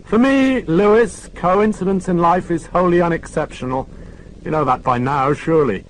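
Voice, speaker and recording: A man's voice: sits high, moderate pace, slight background noise, pitched above the performer's own voice, natural voice, rough recording. A man reading an audiobook, voicing a dry, sardonic middle-aged detective inspector.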